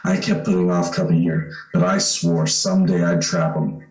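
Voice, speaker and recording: A man's voice: low voice, fairly even intonation, moderate pace, darkish tone, soft background music, close to an everyday voice. A man playing an earnest, hesitant young man in an old-time radio suspense play, with boyish exclamations. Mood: earnest, confessing. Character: earnest boyish young man who trapped his would-be killer. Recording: roomy, echoing amateur radio play.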